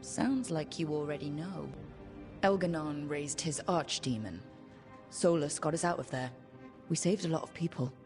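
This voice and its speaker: An actress plays a young woman leading heroes in a dramatic fantasy game. Her voice sits low, and she speaks in an earnest, plain, rallying way. Music plays softly underneath. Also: normal speed, close to an everyday voice. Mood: probing, sympathetic.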